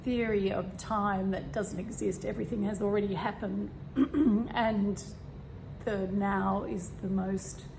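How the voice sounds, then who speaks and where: Croaky woman over fan hum. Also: medium pitch, a little slow. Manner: relaxed, meandering, frequent fillers and throat clearing. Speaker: spiritual vlogger. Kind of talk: casual spiritual talk. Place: home room with a fan running. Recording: steady fan hum behind. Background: fan noise.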